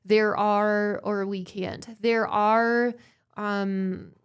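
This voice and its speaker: A woman's voice: medium pitch, flat delivery, drawn-out words, clear, resonant tone. A woman from Canada with a mixed German and Canadian accent, explaining brain mapping in an upbeat, practical way as a podcast guest. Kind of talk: casual interview answers.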